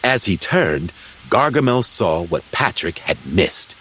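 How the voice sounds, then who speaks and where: A man narrating a children's storybook in an animated, expressive way. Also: sits low, slow pace, slightly rough. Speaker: audiobook narrator.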